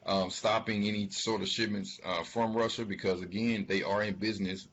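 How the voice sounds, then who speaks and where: A man giving informal financial commentary, speaking haltingly and emphatically, repeating words for stress. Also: on the low side, fairly even intonation, fairly bright tone, a little hiss or hum. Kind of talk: informal spoken commentary on an earnings call. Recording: dull, lo-fi sound.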